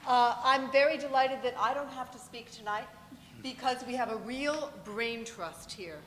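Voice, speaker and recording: A woman's voice: fairly high pitch, moderate pace, a bit bright, slight background noise. A woman moderating a panel discussion, welcoming the audience and introducing panelists. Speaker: panel moderator, green building consultant. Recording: reverberant room, faint ambience.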